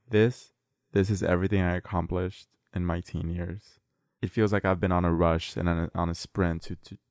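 A nineteen-year-old man with a very low voice co-hosts a casual podcast. He rambles in an earnest way, full of fillers. The sound is dry and close to the mic. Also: darkish tone, no echo. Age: late teens. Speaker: podcast co-host.

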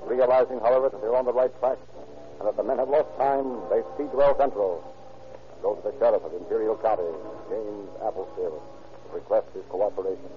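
An actor playing a brisk, probing lawman storyteller in an old-time radio crime drama. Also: fairly low pitch, lightly acted. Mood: dramatic narration. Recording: tinny, scratchy old radio recording.

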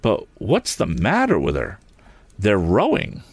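A man's voice: sits low, wildly swooping pitch, a little slow, slightly rough, no echo, exaggerated voice. A man voicing an angry young inventor hero in a storybook, indignant and demanding. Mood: excited.

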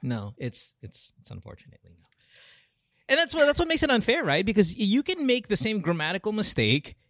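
A man from the Philippines, mixing English and Tagalog in relaxed banter. He argues his views emphatically, his pitch rising and falling a lot. The sound is dry and close. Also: no echo. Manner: emphatic, opinionated, quick to laugh. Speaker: podcast co-host.

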